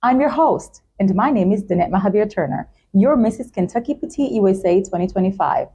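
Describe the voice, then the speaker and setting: A woman's voice: medium pitch, expressive, a little fast, warm tone, breathy edge, dull audio. A woman talk show host with an effusive, polite presenting manner, speaking in announcements and interview chat.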